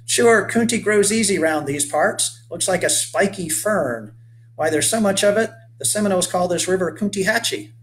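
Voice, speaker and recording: A man's voice: high voice, animated, normal speed, slight background noise, pitched above the performer's own voice. A man voicing a weathered old frontier boatman who speaks in folksy, old-fashioned phrases. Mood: hearty, chatty. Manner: folksy old-fashioned speech, calls the boy lad and son. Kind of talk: voiced character in a read-aloud story.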